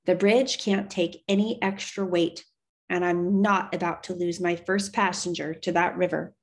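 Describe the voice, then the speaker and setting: A woman's voice: fairly low pitch, fairly even intonation, unhurried. A woman voicing a tough, plainspoken woman stagecoach driver with a firm, folksy way of talking in a storybook. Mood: stern. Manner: commanding, folksy frontier talk.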